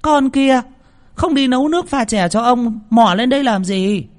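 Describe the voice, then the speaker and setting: A man voicing a haughty lady of the house who scolds in a shrill, piercing voice. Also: normal speed. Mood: scolding.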